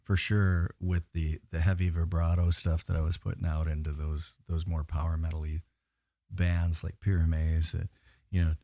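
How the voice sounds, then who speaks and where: A man guesting on a bar podcast, telling rambling stories with a naturally low voice and many fillers. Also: little pitch movement, normal speed, warm tone, no room sound. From Pacific Northwest US. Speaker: guest singer. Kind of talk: casual podcast chat.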